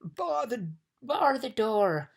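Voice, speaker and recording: A woman's voice: mid-range voice, big pitch swings, unhurried, vocal fry, breathy edge, voice pushed up, heavily acted. A woman voicing a pompous, flustered, gluttonous male bug in a storybook, with flowery, repetitive talk. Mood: excited, agreeing. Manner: pompous, flowery, repeats phrases.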